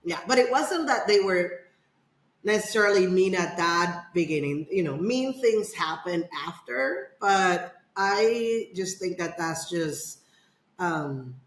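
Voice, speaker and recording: A woman's voice: fairly low pitch, animated, slow pace. Middle-aged woman talking in an echoey room. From Dominican Republic. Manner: rambling, many fillers and restarts. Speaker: podcast guest. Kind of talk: casual personal storytelling.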